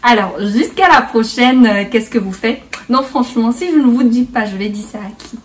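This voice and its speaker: A woman's voice: a little high, moderate pace, distant mic, slight background noise. A woman hosting a casual beauty tutorial in a playful, chatty way, slipping bits of English into her French. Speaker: beauty vlogger, host.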